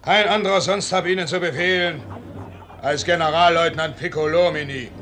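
Man playing tense soldier, slightly high pitch, clipped emphatic reporting, theatrical, 1950s German radio play. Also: fairly even intonation, normal speed, a bit bright, a little hiss or hum, natural voice. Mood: urgent, indignant. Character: tense, blunt male officer bringing bad news. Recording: 1950s radio drama recording.

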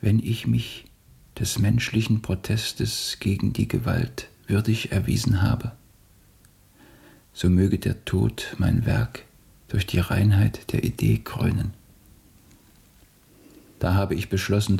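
An actor playing an earnest, guilt-ridden revolutionary in a radio play, speaking solemnly and deliberately. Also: male voice, fairly low pitch, vocal fry, darkish tone, breathy edge, exaggerated voice. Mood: solemn pride.

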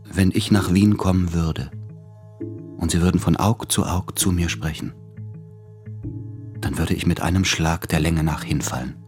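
An actor playing an anguished, self-doubting man in his late thirties, speaking in measured, introspective literary monologue in a radio drama. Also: sits low, fairly even intonation, darkish tone, faint music behind. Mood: reluctant, wry.

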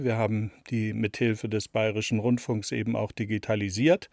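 A man in his sixties answers interview questions at a middle pitch. He explains things reflectively and repeats words for emphasis. The recording is close and very dry. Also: a little mellow, no echo. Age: 60s. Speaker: radio play author being interviewed.

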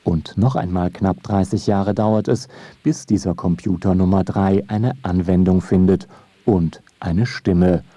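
A man's voice: low voice, fairly even intonation, unhurried, dark tone, breathy edge, dry recording. A man reading scripted documentary narration for a radio feature in a wry, ironic manner. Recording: music behind the voice. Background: music.

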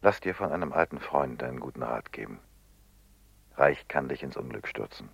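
Very deep, gravelly police prefect. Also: male voice, animated, moderate pace, no room sound, exaggerated voice. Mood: probing, warning. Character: gallant telepathic police prefect, worldly and probing. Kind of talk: German radio play acting. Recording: dull, dry studio radio recording.

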